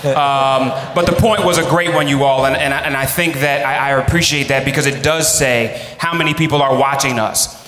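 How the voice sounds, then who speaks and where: A man's voice: mid-range voice, fairly bright tone. A man moderating a live town hall panel, courteous but firm with the people he addresses. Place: large conference hall stage at podium. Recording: echoey large hall PA.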